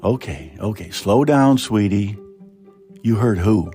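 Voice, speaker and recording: A man voicing a worried, protective mother who speaks tenderly and gravely to her child in a short story. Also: lowish voice, slow pace, warm tone, soft background music. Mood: soothing.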